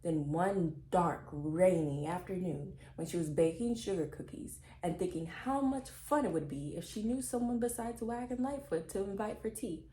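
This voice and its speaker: A woman reading a children's chapter book aloud as an expressive narrator. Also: fairly low pitch, moderate pace, hardly any pauses, reverberant, a little hiss or hum, low-quality recording.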